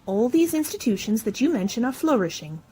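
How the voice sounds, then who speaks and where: An actress playing a genteel, earnest Victorian charity collector in a radio drama, polite, formal and persuasive. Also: female voice, on the high side, big pitch swings, a little fast, warm tone, a little hiss or hum.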